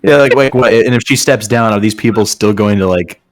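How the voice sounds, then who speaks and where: A man's voice: lowish voice, brisk, soft background music. A man doing a casual read-aloud for friends, breaking off into joking, sarcastic asides. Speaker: host reading fan fiction aloud with friends.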